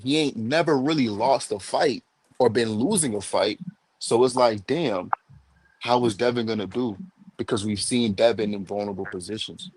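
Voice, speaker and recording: A man's voice: medium pitch, noisy background. A man in his late twenties co-hosting a casual boxing chat, agreeing often and laying out his points step by step. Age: 20s. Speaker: podcast co-host.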